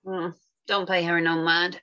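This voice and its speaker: A woman's voice: on the low side, level tone, measured pace, sharp tone, muffled recording. A woman playing plain-spoken small-town working women in a script read, with a folksy, informal manner. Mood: wry. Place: indoor room at home, on a video call.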